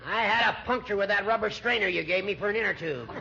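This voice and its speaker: An actor playing a querulous, excitable older judge who bickers with a friend in a 1940s radio comedy. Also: male voice, high-pitched, quick pace. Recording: noisy, dull 1940s radio broadcast.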